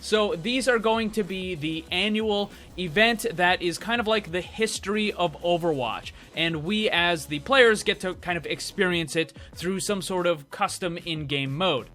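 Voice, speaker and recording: A man with a high, forceful, bright voice hosts a gaming news commentary. He talks conversationally and explains his ideas straight to the viewer, with faint music playing behind him. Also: unhurried, hardly any pauses. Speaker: video game news host. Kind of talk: casual commentary and speculation for viewers. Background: background music.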